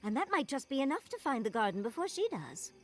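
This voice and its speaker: A woman's voice: sits high, big pitch swings, fast. A woman acting a plucky, spirited adventuring companion in a video game, playful in manner. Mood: cheeky optimism. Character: plucky, cheeky, upbeat female adventuring companion.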